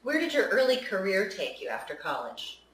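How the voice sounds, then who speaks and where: A woman, hosting an interview in an upbeat way with a quick joking manner. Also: medium pitch, wildly swooping pitch. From West Virginia. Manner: bright, upbeat, quick with jokes. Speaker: interview host. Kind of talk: casual interview conversation. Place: studio. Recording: roomy studio sound, mic at a distance.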